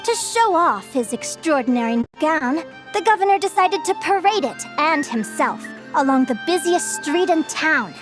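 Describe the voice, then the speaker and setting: An actress playing a gentle, scholarly young woman in a game, telling stories in a measured, articulate and enthusiastic way. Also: high-pitched, expressive, music in the background. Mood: storytelling, composed. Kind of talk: storytelling in an English game dub.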